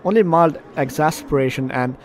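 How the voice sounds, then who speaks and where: Higher-voiced man doing game commentary. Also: moderate pace. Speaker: video game streamer. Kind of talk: let's play commentary, reading game text aloud. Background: game music.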